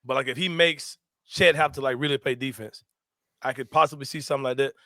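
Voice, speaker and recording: A young man hosting a casual sports talk show, animated and slangy and quick to laugh. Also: medium pitch, no room sound.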